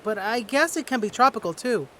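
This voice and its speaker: A woman's voice: fairly high pitch, animated, brisk, a bit bright, a little hiss or hum. A woman voicing a playful young boy adventurer in an audio drama.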